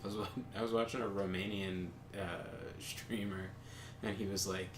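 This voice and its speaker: Man, lowish voice, loose rambling storyteller, casual gaming-chat energy, roomy echo. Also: flat delivery, moderate pace. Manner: rambling anecdotes with many fillers and laughs. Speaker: co-host of a game stream. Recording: roomy echo, faint background noise.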